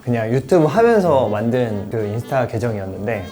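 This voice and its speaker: A man's voice: medium pitch, very animated, brisk, dark tone. Guy in his 30s, bouncy chatty voice, light music under. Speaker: guest.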